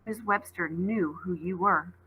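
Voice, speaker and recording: A woman's voice: on the low side, unhurried, sharp tone, a little hiss or hum, rough recording. A woman voicing a stubborn, frightened sixth-grade girl in a storybook, dogged and snappish. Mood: dogged, scared.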